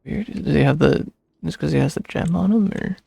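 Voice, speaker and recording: A man's voice: fairly high pitch, brisk, gravelly, warm tone, slightly rough, no room sound. A man streaming a video game, giving casual commentary and reading game text on a close microphone. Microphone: close streaming microphone, dry. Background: game music.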